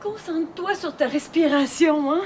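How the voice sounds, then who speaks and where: A woman's voice: sits high, moderate pace, background noise, close to an everyday voice. Woman playing a flustered mother in labour. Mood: anxious. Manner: panting, moaning, calming herself.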